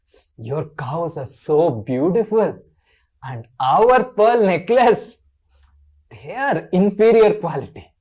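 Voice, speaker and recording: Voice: mid-range voice, dark tone, dull audio, pitched above the performer's own voice. A storyteller with an Indian accent voicing a proud young cowherd girl who teases with mock humility.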